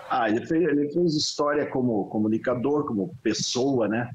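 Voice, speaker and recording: A man reminisces in a halting voice that keeps doubling back on its words. His voice is husky, and the sound is a little dull and lo-fi. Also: mid-range voice. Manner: halting, repeats words, moved. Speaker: guest, son of the honoree. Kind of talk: emotional reminiscing.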